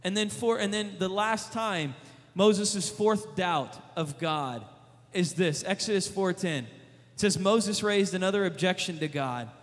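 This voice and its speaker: A man preaching a sermon, emphatic, repeating rhetorical questions and paraphrasing scripture in lively retellings. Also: sits high, unhurried, in a large hall. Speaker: youth preacher.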